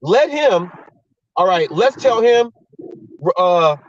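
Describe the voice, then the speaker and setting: A man's voice: high voice, sing-song, slow, no echo, recorded from a distance, highs cut off. A man hosting a talk show, blunt and heated, swearing and repeating himself as he argues. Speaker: host.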